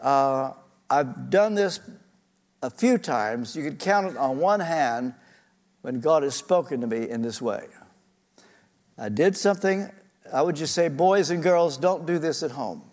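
A man's voice: on the high side, lively intonation, unhurried, a little mellow. An older man preaching a sermon, telling a personal story in an earnest, conversational way with frequent fillers. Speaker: pastor. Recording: reverberant church hall.

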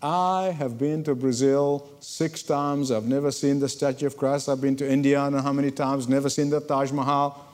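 A man from Egypt, preaching a sermon to a congregation in lively storytelling, often repeating words as he starts a sentence. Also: medium pitch, moderate pace, hardly any pauses. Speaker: pastor. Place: church before a congregation.

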